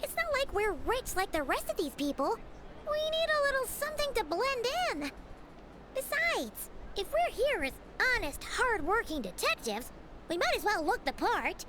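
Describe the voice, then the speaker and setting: A woman voicing an excitable little fairy companion with a childlike voice in a video game. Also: very high-pitched, moderate pace, background noise. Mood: determined. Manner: third-person self-reference, stammers when flustered.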